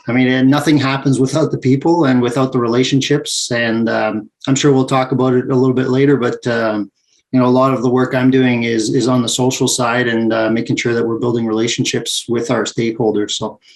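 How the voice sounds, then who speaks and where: Man in his forties, mid-pitched, methodical interview answers. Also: fairly quick. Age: 40s. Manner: steady and methodical, frequent "uh" fillers. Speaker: guest, VP of mining and projects.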